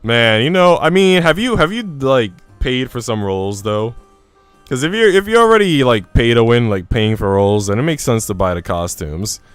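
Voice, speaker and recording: Guy streaming a visual novel, clear smooth voice, chill slangy talk, dry room, bit of music under it. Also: mid-range voice, expressive, moderate pace, no room sound. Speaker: game streamer. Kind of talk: casual livestream chat and reading aloud visual novel text.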